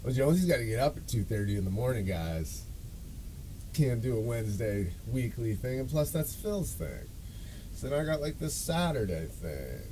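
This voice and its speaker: A man's voice: medium pitch, very animated, moderate pace, dark tone, slight background noise, poor audio. A man hosting a podcast, telling a story in a casual, rambling, self-deprecating way with loose swearing. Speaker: podcast and show host.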